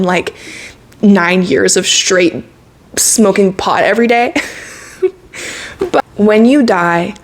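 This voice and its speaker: Young woman in her twenties, casual vlog voice, candid and earnest, mid-range pitch, slangy and laughing easily. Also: darkish tone. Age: 20s. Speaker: vlogger. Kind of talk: casual reflective monologue to camera.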